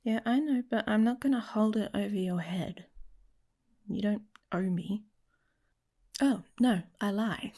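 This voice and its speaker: An actress voices a devious, emotionally guarded young she-wolf in an intimate audio roleplay. Her delivery is unhurried and casual, with a wry edge. The voice is husky and breathy, full of soft creak, and often slips into a whisper. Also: medium pitch. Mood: teasing, prickly. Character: guarded, teasing young female werewolf, devious and prickly. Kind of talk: intimate ASMR roleplay acting.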